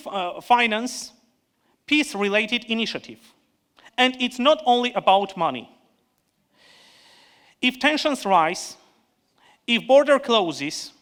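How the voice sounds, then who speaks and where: A man with an Armenian accent delivers a prepared conference speech as a panel speaker. His voice sits high and sounds bright and forceful. He speaks in an earnest, measured way and now and then stops to restart a word.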